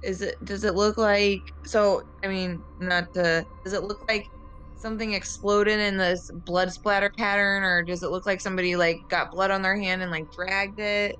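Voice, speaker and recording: Woman at a game table, mid pitch, casual, asking stuff as she plays. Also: fairly even intonation, normal speed, a bit bright, clear, resonant tone, poor audio. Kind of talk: casual chat during a game.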